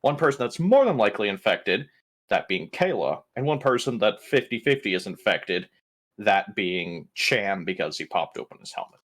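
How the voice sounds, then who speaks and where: A man with a slightly low voice talks as a player in a tabletop game. He is casual and conversational, with a blunt, joking manner. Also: sing-song, moderate pace, a bit bright. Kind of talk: casual chat.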